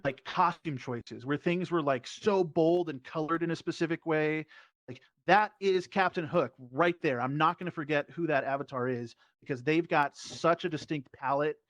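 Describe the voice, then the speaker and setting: A man speaking casually as a performer on a panel, informal and somewhat halting. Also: a little high, no room sound. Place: virtual reality event, voice over personal microphone. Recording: dull, lo-fi dry sound.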